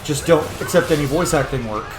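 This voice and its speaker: A man streams a video game, chatting casually and wandering into asides. His voice sits in a roomy, echoey space with clear game music playing behind him. He throws playful exclamations at the characters as he plays. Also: a little high, a little fast. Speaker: gaming streamer and content creator. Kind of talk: casual let's play commentary. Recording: roomy echo under loud game music.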